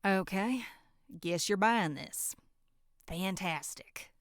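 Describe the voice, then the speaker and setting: A woman's voice: medium pitch, lively intonation, slow pace, no echo, studio quality. A woman playing an exasperated, level-headed villager with a folksy, sarcastic manner in a horror roleplay audio drama.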